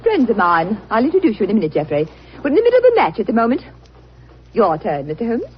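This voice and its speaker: An actress playing a bold, cool young schemer in a 1940s radio drama, brisk and self-assured. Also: female voice, on the high side, huge pitch swings. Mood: confident. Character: bold, cool, scheming young lady, pistol-shooting niece. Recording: dull noisy 1940s radio recording.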